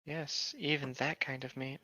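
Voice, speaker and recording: A man's voice: mid-range voice, fairly even intonation, a little slow, pushed and bright, no echo. A man joking casually in a snarky, mocking way over a story being read aloud, on a lo-fi microphone. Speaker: podcast co-host.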